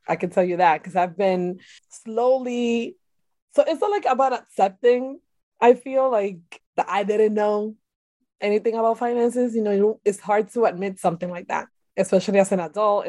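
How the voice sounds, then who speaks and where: A woman from the Dominican Republic with a Dominican accent talks as a podcast guest. She tells her story in an animated way, laughing often and leaning on fillers. Her voice sounds close and dry, with little room sound. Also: mid-range voice, no echo. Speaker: guest, boudoir photographer. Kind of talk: conversational interview.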